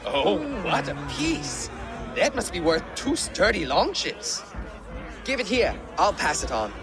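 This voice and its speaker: An actor playing a jovial, pushy young Viking teasing a child at a feast. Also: male voice, a little high, wildly swooping pitch, unhurried, heavily acted. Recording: music and crowd behind.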